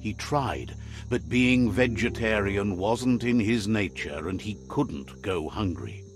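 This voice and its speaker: A man narrating a game in a measured, solemn storytelling manner, retelling what a creature says. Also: lowish voice, expressive, music in the background.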